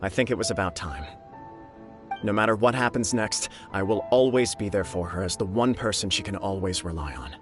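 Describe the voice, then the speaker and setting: A man's voice: lowish voice, a little fast, over music, lightly acted. An actor playing an earnest, lovestruck young nobleman in a video game, polite and sincere in his speech. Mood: resolute.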